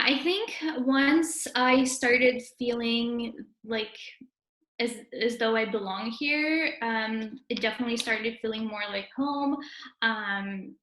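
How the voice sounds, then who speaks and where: A woman in her early twenties from Russia, a native Russian speaker, answering interview questions casually as a university student. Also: on the high side. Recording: roomy, echoey sound.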